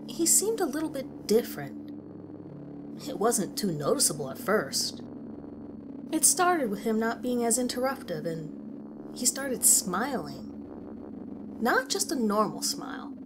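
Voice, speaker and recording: A woman's voice: fairly high pitch, big pitch swings, unhurried, pauses often. A woman narrating a scripted horror story as a concerned, unsettled teacher. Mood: uneasy, reflective. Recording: clearly audible background ambience noise.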